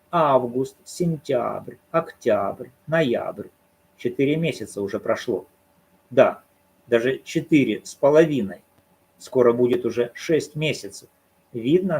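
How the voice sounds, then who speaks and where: Husky man giving argumentative commentary. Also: mid-range voice, animated, takes it slowly, a little mellow, poor audio. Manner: argumentative, poses rhetorical questions and answers them. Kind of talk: solo commentary on a court case.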